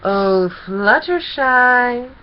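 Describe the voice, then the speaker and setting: A woman's voice: a little high, lively intonation, drawn-out words, dull audio, pitched above the performer's own voice. A woman voicing a gleeful, teasing male chaos creature from a children's storybook, with theatrical mock innocence and gushing delight. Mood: excited, sociable. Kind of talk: children's storybook character reading.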